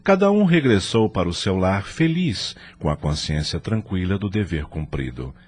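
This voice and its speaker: A man's voice: deep voice, big pitch swings, normal speed, a little mellow, breathy edge. A man narrating a scripted audio drama in a formal, literary storytelling manner.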